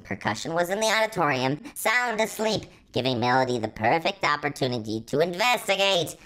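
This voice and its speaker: A woman's voice: low-pitched, a bit bright, slight background noise, poor audio. A woman hosting a story reading, narrating fan fiction and adding her own commentary. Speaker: story reader, channel host.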